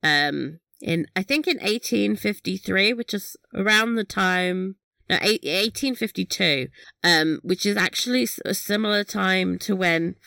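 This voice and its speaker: Bright, giggly woman podcast host. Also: medium pitch, measured pace, vocal fry, dry recording. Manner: chatty, giggly, swears casually. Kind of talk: casual recap chat.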